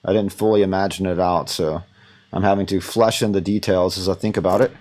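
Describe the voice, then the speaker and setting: A man teaching audio mixing, thinking aloud in a relaxed, rambling way with many fillers. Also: low-pitched, brisk. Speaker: audio instructor.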